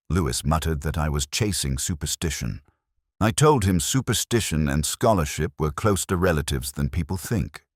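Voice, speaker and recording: Very deep-voiced man narrating a mystery. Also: slightly rough, no echo, studio quality. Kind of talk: first-person scripted detective story narration.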